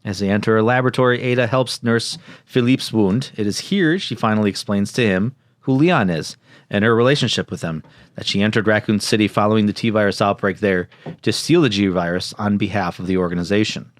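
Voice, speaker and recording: A man's voice: on the low side, brisk, dry recording, studio quality. A man co-hosting a podcast, reading out a plot summary and dropping in joking asides. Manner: jokey, interjects and riffs. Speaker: podcast co-host.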